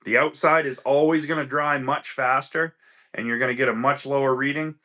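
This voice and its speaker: A man with a bright, forceful voice hosts a casual livestream. He chats easily and greets viewers by name as they join. He sounds a little stuffy from a lingering cold. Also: medium pitch, fairly even intonation, normal speed. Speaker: livestream host.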